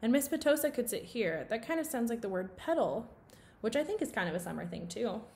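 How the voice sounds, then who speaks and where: A woman's voice: fairly high pitch, sing-song, brisk, creaky, darkish tone. A woman voicing a friendly, chatty, earnest schoolgirl from a children's storybook.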